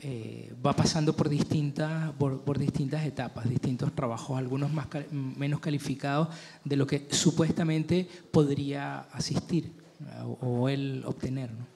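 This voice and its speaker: A middle-aged man from Chile, a film director answering festival interview questions thoughtfully, with many pauses and restarts. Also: mid-range voice, fairly even intonation, vocal fry, warm tone, a little hiss or hum.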